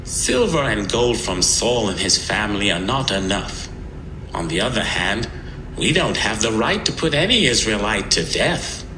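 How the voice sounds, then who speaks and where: A bitter vengeful elder tribesman, declamatory, animated pitch, roomy sound, scripture drama. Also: a little slow, background noise. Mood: bitter, firm. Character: bitter, vengeful Gibeonite man demanding justice. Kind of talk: dramatised audio Bible acting. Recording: roomy sound with music underneath.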